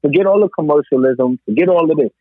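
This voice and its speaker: A man's voice: fairly high pitch, fast talker, crowd noise. A man talking casually as a podcast host in a hip-hop debate, emphatic and repeating phrases to drive points home. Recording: narrow tinny phone-like line.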